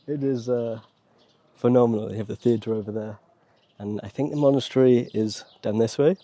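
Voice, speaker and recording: Mellow British man, casual travel vlogger. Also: medium pitch, steady tone, moderate pace, no echo. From Wales, UK. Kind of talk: casual travel vlog narration. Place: outdoors and inside rock-cut tombs at a desert site.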